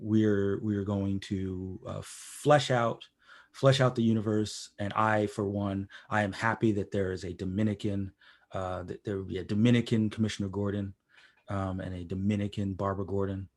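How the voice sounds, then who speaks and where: A man hosting a conversational pop-culture podcast, speaking in a measured, deliberate way and often repeating phrases as he goes. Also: on the low side, flat delivery. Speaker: podcast host.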